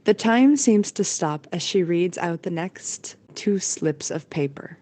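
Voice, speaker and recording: A woman's voice: fairly low pitch, expressive, slow, mellow. A woman narrating a scripted audio drama as its storyteller.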